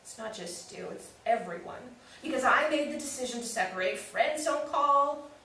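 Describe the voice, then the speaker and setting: A woman's voice: sits high, lively intonation, moderate pace, pushed and bright. A woman acting a wounded, defensive, newly separated sister in a staged play reading, candid and emotional. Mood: hurt, bitter. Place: live staged reading in an echoey room. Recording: very echoey room, distant mic, faint noise.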